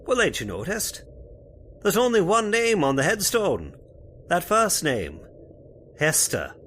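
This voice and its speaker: A man's voice: high voice, huge pitch swings, unhurried, fairly bright tone, slight background noise, heavily acted. A man playing a folksy, spooked cemetery caretaker in old-time radio horror style, with rustic turns of phrase. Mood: ominous. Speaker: voice actor.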